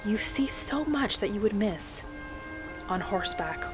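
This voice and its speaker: A woman narrating a game roleplay in character as a gentle, hopeful young elf adventurer, softly musing aloud. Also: mid-range voice, lively intonation, measured pace, poor audio. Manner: soft, musing, speaking thoughts aloud. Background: music.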